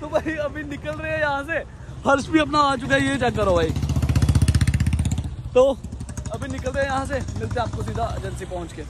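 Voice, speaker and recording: A young man chats excitedly to his viewers while filming himself with a handheld action camera. His voice sits very high and light. Clear street and traffic noise run behind him the whole time. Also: normal speed, long pauses. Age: young adult. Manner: excited, addresses viewers as guys and brother. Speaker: motovlogger. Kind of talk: casual vlog chat. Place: outdoors by a roadside and a showroom lot. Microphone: action camera held at arm's length.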